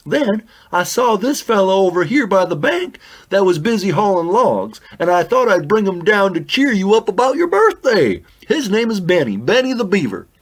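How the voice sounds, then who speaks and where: Guy doing an eager goofy possum sidekick, high lively voice, kids audio drama. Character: eager, bumbling possum deputy.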